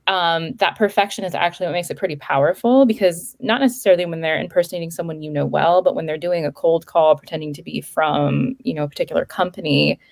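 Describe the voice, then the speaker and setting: Woman guest, low voice with vocal fry, explanatory conversational delivery. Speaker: threat intelligence analyst guest. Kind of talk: casual interview chat.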